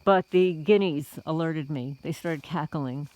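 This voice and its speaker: A woman's voice: low-pitched, measured pace, darkish tone, no room sound, low-quality recording. A middle-aged woman from the Bronx talking to viewers in a casual, indignant vlog monologue full of rhetorical questions. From the Bronx, New York. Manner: indignant, rhetorical, addresses listeners as folks. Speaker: homesteading vlogger.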